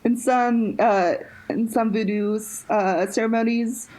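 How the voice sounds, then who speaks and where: A young woman co-hosting a podcast in casual chat, halting, with frequent restarts and quick laughs between phrases. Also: on the high side, fairly even intonation, warm tone, low-quality recording. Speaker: podcast co-host.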